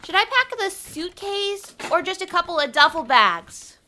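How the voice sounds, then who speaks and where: Bright, high-pitched, irritable young American woman. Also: very animated, unhurried, heavily acted. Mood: fretful. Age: young adult. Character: young woman, irritable, flippant, naive phone diarist. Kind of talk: audio drama acting. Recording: faint noise, phone-diary sound.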